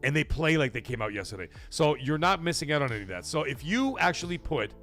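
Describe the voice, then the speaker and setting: A man's voice: a little high, very animated, fast talker, a bit bright, faint music behind. A man hosting a gaming livestream, rambling casually and answering viewers from the chat by name. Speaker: livestream gaming show host.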